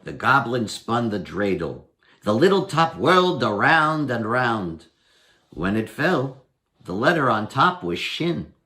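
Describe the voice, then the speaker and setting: A man in his sixties reading a storybook aloud with expressive narration. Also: mid-range voice, slow pace. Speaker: story reader. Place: room at home, indoors.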